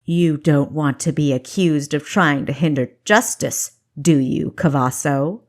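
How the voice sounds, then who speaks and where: A cruel, haughty baron played by a woman narrator in an adventure audiobook. He speaks low and slowly, with commanding contempt. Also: expressive, a bit bright, exaggerated voice. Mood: venomous threat. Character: cruel, haughty male baron, sneering villain.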